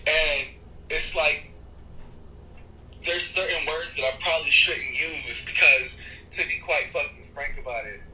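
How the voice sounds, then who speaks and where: A man in an interview with a bright, forceful voice that sits a little high. He talks in long, animated runs, restarting phrases and swearing for emphasis. The room is echoey, with a faint hiss behind him. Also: measured pace, pauses often. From United States. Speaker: rapper and artist, interview guest. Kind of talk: rambling interview answers.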